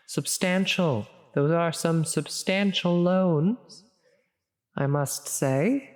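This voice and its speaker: A theatrical female demon acted out by a woman speaks in a long, cavernous reverb. She draws her words out very slowly, with grand, formal phrasing and a halting delivery. It is styled as an ASMR audio roleplay. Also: sits low, darkish tone. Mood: awkward concern. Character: menacing female demon, grandiose, increasingly flustered and concerned.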